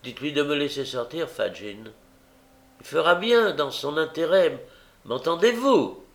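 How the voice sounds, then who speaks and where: A man voicing a stubborn, desperate young woman who pleads insistently and haltingly in a story reading. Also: a little high, sing-song, gravelly, a bit bright, roomy sound, voice pushed up, heavily acted. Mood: agitated, insistent.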